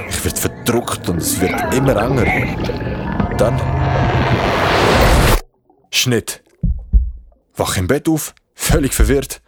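A man's voice: low voice, husky, full character voice. An actor with a Swiss German accent playing a shaken, foul-mouthed young man recounting his ordeal in an audio drama. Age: young adult. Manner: colloquial, swearing, dramatic. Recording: music behind the voice.

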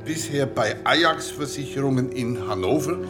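An actor playing a company official who makes a formal, ceremonious announcement of new staff in a radio play. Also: male voice, mid-range voice, normal speed, over music, close to an everyday voice.

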